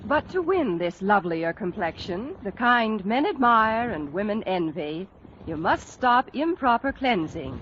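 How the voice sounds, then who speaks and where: A woman's voice: mid-range voice, sing-song, a little slow, a bit bright. A woman reading a scripted mid-century radio advertisement in a polished, instructive way. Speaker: commercial announcer. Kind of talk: 1940s-50s radio advertising read. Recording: muffled old radio, faint noise.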